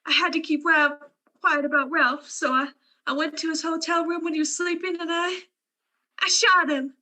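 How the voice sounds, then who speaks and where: A woman's voice: extremely high pitch, fairly even intonation, normal speed, bright, forceful tone. A woman playing a brazen, fragile young liar in a radio crime drama. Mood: distraught. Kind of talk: old-time radio crime drama acting.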